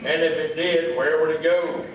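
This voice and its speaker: A man's voice: fairly high pitch, flat delivery, normal speed, natural voice. A man playing a pompous, dim lodge leader with a ceremonious, dismissive manner in a comic radio play. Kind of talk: comic radio theatre acting. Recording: echoey hall, distant mic, faint noise.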